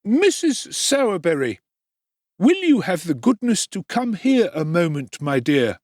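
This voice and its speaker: A man's voice: high-pitched, very animated, a little slow, dry recording, clean studio sound, pitched above the performer's own voice. A man doing an oily, deferential undertaker. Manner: deferential, ingratiating. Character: ingratiating middle-aged male undertaker, deferential to his wife.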